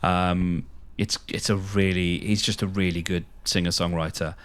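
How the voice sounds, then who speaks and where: A man's voice: low voice, flat delivery, normal speed, a bit bright, clean tone. A British man co-hosting a podcast in casual chat, speaking hesitantly with repeated words and trailing thoughts. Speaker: podcast co-host.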